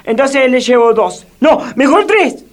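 A man's voice: extremely high pitch, sing-song, a little slow, exaggerated voice. A man playing a sly, hungry young boy with an Argentine accent, stammering excuses in a comedic radio play. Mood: cheeky, grateful. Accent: Argentine Rioplatense. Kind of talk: comedic radio theatre acting.

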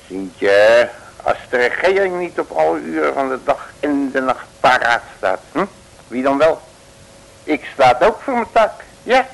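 An actor playing a boastful, bumbling male detective, blustering and shouting in a 1950s radio play. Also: mid-range voice, very animated, measured pace, creaky, heavily acted, poor audio. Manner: blustering, with made-up curses and sudden shouts.

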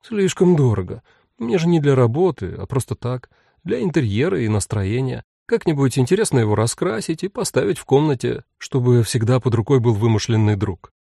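Audiobook part male reader: ironic young woman, playful, lively swings, mellow and dry. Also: mid-range voice, brisk, creaky, breathy edge, no room sound, heavily acted. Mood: offhand. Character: wry young woman, the mother's ironic elder daughter.

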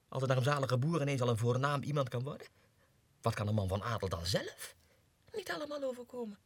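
A self-important Russian clerk sliding into madness in an old radio play. His voice is husky and very animated in pitch, lurching between muttered whispers and agitated outbursts. The studio sound is dry and close. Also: no room sound, full character voice. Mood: agitated, insistent. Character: deluded low-ranking Russian clerk, obsessive, self-important, going mad. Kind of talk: 1960s radio drama monologue, diary entries.

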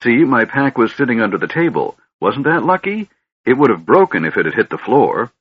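A man voicing an eager, cheerful small kender who chats brightly in an audiobook. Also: mid-range voice, very animated, fairly quick, slightly rough, pitched above the performer's own voice. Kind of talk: audiobook character voice. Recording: dull, slightly lo-fi recording.

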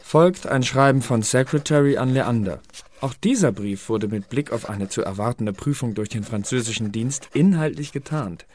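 An actor playing an intelligence clerk in a radio play, introducing confidential documents in a dry, precise, official manner. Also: male voice, mid-range voice, expressive, moderate pace, darkish tone, slight background noise, natural voice. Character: male intelligence clerk introducing confidential secret-service letters.

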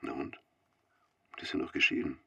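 An actor playing a suspicious, insistent police investigator in a radio crime drama, questioning in a formal, matter-of-fact way. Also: male voice, normal speed, pushed and bright, no room sound, lo-fi sound, close to an everyday voice. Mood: musing, skeptical.

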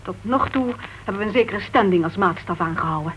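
A woman's voice: medium pitch, brisk, lightly acted. An actress playing a prim, disapproving woman writer and club secretary, stern and moralising, in a Dutch radio play. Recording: dull, noisy old radio recording.

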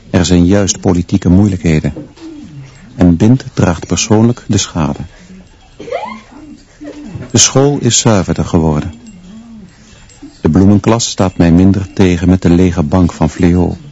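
An actor playing a sober, reflective teacher who narrates a radio play in a measured, restrained way. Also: male voice, sits low, warm tone, highs cut off. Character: male teacher recounting events, sober and reflective. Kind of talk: literary radio play narration in first person.

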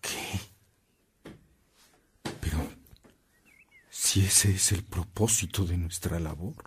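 Slow, solemn Mexican priest. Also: male voice, low-pitched, fairly even intonation, noisy background. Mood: concerned, steadfast. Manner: solemn, deliberate, heartfelt. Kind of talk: radio drama acting.

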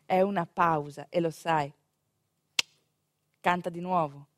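An actress playing an animated village storyteller in a theatrical monologue, talking to the audience conversationally with dramatic pauses. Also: female voice, on the low side, no room sound, studio quality. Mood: hushed, reflective. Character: animated female village storyteller recounting a home birth.

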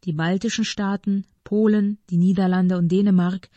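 A woman reading scripted political commentary aloud in a formal, measured manner. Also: medium pitch, level tone, warm tone, dry recording.

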